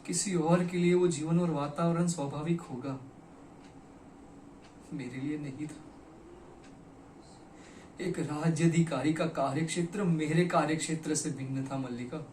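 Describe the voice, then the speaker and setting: A man performing a theatrical monologue as a broken, regretful poet, speaking dramatically and deliberately with weighted pauses. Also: a little high, steady tone, a little mellow, lightly acted. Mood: sorrowful, weary. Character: broken, regretful poet confessing to his lost beloved. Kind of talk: theatrical Hindi stage monologue. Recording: echoey room, distant mic, faint noise.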